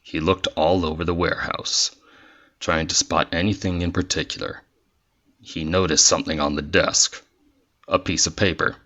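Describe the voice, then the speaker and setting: Male narrator, very low pitch, scripted storytelling, audio drama. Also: fairly even intonation, moderate pace, bright, forceful tone, dull audio.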